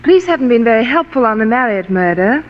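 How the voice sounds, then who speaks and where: An actress playing a guarded, sharp-tongued young woman in a 1940s radio drama, speaking in a clipped, evasive way. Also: fairly high pitch, sing-song, fast talker. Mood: probing. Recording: echoey, muffled vintage radio, faint noise.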